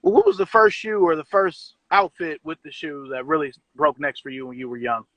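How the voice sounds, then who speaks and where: A man's voice: fairly high pitch, lively intonation, brisk, sharp tone, no room sound, lo-fi sound. A man of about forty hosting a casual interview in an informal, slangy, teasing way. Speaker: interview host.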